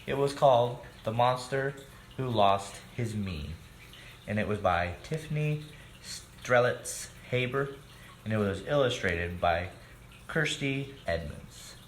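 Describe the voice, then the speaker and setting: A man's voice: mid-range voice, expressive, slow pace. A man reading a children's storybook aloud expressively and speaking directly to his young listeners. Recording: echoey room with background noise.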